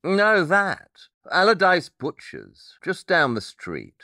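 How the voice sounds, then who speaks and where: A man's voice: sits high, wildly swooping pitch, slow, bright, forceful tone, dry recording, exaggerated voice. A man playing a brilliant, eccentric detective in an audio drama, dry, matter-of-fact and condescending. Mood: dismissive.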